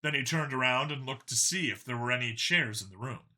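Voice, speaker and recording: Bright, animated female story narrator. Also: a bass voice, a little fast. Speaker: audiobook narrator.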